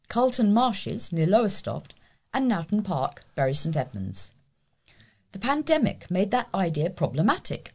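A woman reads local news from a script as a volunteer reader. Her voice is slightly husky and sits at a middling pitch, with lively movement up and down through the sentences. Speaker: volunteer newsreader. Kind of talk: scripted local news reading for a talking newspaper.

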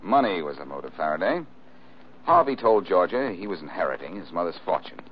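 An American actor playing a cool, sardonic amateur detective in a 1940s radio mystery, glib and self-assured, on an old recording. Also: male voice, deep voice, sing-song, a little fast, slightly rough, exaggerated voice. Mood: confident, sardonic. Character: wisecracking, cool-headed amateur detective man, quick and sardonic. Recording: dull, hissy old-time radio recording.

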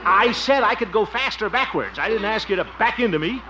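An actor playing a blustering, soft-hearted middle-aged man in a 1950s radio comedy, with muttered asides and nervous giggles. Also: high-pitched, animated, brisk. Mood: exasperated. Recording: muffled, very noisy old radio recording.

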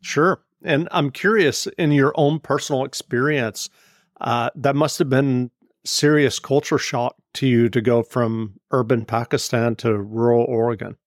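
A man's voice: mid-range voice, fairly even intonation, measured pace, no echo, clean studio sound. A man interviewing an author with brief, curious questions.

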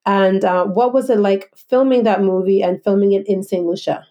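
Warm-voiced woman interviewer from the Virgin Islands. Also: medium pitch, steady tone, moderate pace, highs cut off. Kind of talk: casual interview.

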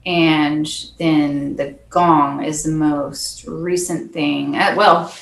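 A soft-spoken woman, a percussionist guest, chatting earnestly and laughing often in an interview. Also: low voice, steady tone, drawn-out words, creaky, roomy sound. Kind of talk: casual interview chat.

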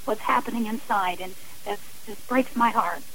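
A woman's voice: fairly high pitch, normal speed, fairly bright tone, low-quality recording. A woman speaking as an interview guest on radio, earnest and emphatic, explaining with examples and repeated phrasing. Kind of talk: radio interview, personal and advisory talk.

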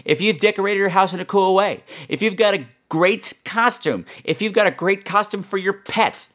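A man's voice: high-pitched, moderate pace, fairly bright tone. A man hosting a horror podcast, chatting to his listeners in a campy, playful, self-mocking and emphatic way. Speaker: podcast host.

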